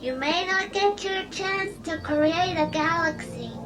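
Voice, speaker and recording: A woman's voice: very high voice, a little slow, roomy sound, music in the background. An actress voicing a ship computer's girlish, naive and conscientious personality in a radio science fiction drama. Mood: calmly resigned.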